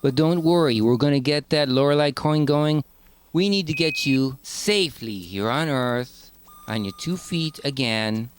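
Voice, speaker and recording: Man, mid-pitched voice, casual comic interview chat, faint background noise. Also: measured pace. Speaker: podcast interviewer.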